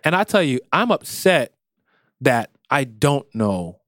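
Male podcast co-host, earnest and lively, dry close-mic sound. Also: mid-range voice, slow, no echo. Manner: earnest, animated, acts out quoted voices. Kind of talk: casual podcast conversation.

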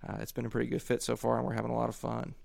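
A man from Central Florida co-hosting a sports podcast, talking in a wry, offhand way that trails off quietly. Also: mid-range voice, monotone, rapid-fire, creaky, no echo. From Central Florida, USA. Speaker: podcast co-host. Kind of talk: casual podcast chat.

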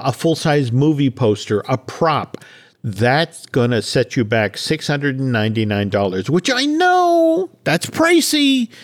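A man hosting a podcast, talking in a casual, chatty way about entertainment news, often repeating words for emphasis. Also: medium pitch. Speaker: podcast host.

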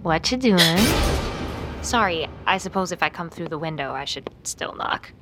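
An actress playing an aloof, sheepish young woman in an audio drama, halting and understated. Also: animated, over music, lightly acted. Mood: apologetic, cautious. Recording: background noise and rumble.

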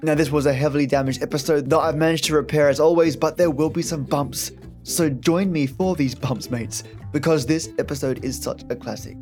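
A man's voice: fairly high pitch, steady tone, a little fast, a little mellow. A man hosting a podcast, giving a chatty scripted introduction in a wry, friendly manner. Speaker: podcast host. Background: plucked guitar music.

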